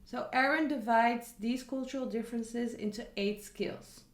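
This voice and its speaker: A woman's voice: fairly high pitch, steady tone, measured pace. A young woman, chatting casually on camera about a book she read and adding many asides. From Netherlands. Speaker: vlogger. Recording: echoey room.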